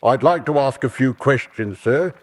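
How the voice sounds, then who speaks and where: An actor playing a deferential, nosy police constable, folksy and probing, in an old-fashioned radio drama. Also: male voice, medium pitch, normal speed, no room sound, lightly acted.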